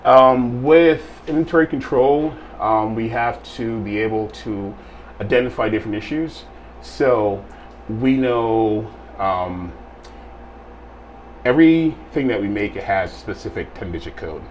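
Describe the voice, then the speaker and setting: Low-voiced man from Barbados, measured. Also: a little hiss or hum, poor audio. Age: middle-aged. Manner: measured, explanatory, with frequent pauses and fillers. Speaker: guest, quality assurance technician. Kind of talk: casual interview answers. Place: small studio room with plain wall.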